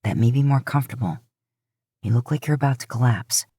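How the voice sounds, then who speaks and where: A woman voicing a protective, gruff older brother who talks in a plain, coaxing, reassuring way in a story reading. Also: extremely low pitch, a little fast, mellow, dry recording, studio quality, pitched below the performer's own voice.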